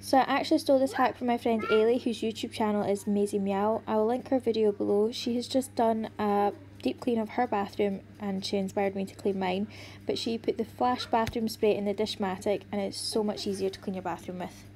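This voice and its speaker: A woman's voice: medium pitch, steady tone, a little fast, loud music behind the voice. Tired pregnant woman narrating. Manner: chatty, friendly, explaining each step. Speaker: cleaning vlogger. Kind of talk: voice-over narration of a cleaning video.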